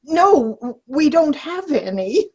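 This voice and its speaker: Woman playing a prim old lady, high, very slow. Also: sing-song, warm tone, highs cut off, full character voice. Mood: flustered. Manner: halting, giggly. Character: prim, forgetful old homebody Millie, abstemious, giggly. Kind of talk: virtual play reading, comedy. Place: video call.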